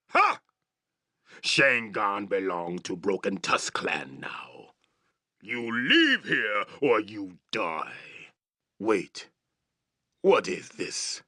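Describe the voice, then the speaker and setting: Orc chieftain, video game villain, deep growl, wildly swinging pitch, brutish, menacing, broken English. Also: male voice, pushed and bright, no room sound, clean studio sound, exaggerated voice. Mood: demanding, suspicious. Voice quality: gravelly, growling. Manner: broken English, threatening, barking. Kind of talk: fantasy video game character acting.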